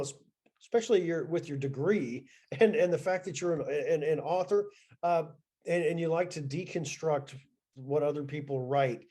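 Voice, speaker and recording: A man hosting an author interview over a video call, speaking in a halting way with many restarts. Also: a little high, dark tone. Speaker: interview host. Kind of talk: conversational interview.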